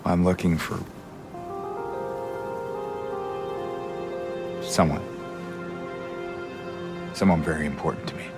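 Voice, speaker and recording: Hushed, gravelly, grieving widower. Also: male voice, low-pitched, fairly even intonation. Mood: hesitant, resolute. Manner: hushed, halting, brooding. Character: grieving, weary widower searching for his lost wife. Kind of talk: video game voice acting. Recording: loud music over the voice.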